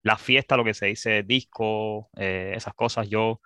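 A man's voice: lowish voice, steady tone, a little slow, bright, forceful tone, clear, resonant tone, no echo. A man studying abroad, chatting casually and hesitantly, with many restarts and fillers. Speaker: student guest. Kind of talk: casual conversation.

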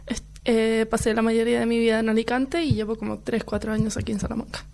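Young woman radio guest from Chile, relaxed chat, vocal fry. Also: on the high side, fast. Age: young adult. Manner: chatty, laughs often, fillers. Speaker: guest student. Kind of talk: casual radio interview. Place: radio studio. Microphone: studio headphones and mic.

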